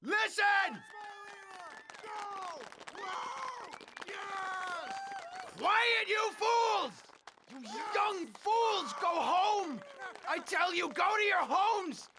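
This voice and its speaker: A man's voice: very high voice, big pitch swings, slow pace, shouting, noisy background, exaggerated voice. A man playing a dying old tyrant leader in a radio drama, ranting, imperious and threatening. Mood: furious. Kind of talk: 1930s-style radio drama acting.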